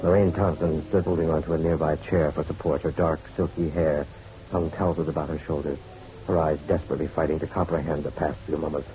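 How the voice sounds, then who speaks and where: An actor playing a hardboiled private detective in a radio drama, with a wry, unhurried, tough delivery. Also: male voice, extremely low pitch, flat delivery, warm tone. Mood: tense narration. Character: hardboiled male private detective, dry and worldly. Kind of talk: radio drama acting with noir first-person narration. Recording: dull lo-fi radio drama recording.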